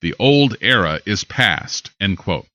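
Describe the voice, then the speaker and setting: Bright, animated American male news anchor. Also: low-pitched, slow pace, dry recording, highs cut off. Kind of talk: scripted news narration.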